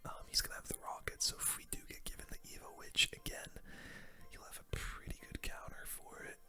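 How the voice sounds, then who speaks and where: Whispering ASMR gamer, casual and breathy. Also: a little fast, pushed and bright. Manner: casual, slangy. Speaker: gaming video host. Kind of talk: whispered ASMR game commentary.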